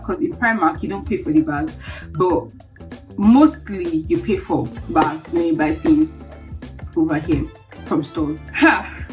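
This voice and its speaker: A woman in her early twenties with a Nigerian accent, chatting casually as a vlogger and telling stories in an animated, exclamatory way. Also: lowish voice. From Lagos, Nigeria. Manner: animated, exclamatory, acting out reactions. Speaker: vlogger, international student. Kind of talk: casual chatty storytelling to camera.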